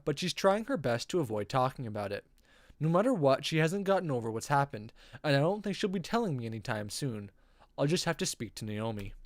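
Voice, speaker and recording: Animated streamer reading a visual novel aloud. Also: mid-range voice, no echo, clean studio sound. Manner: theatrical, playful, stumbles over read text. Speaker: game streamer.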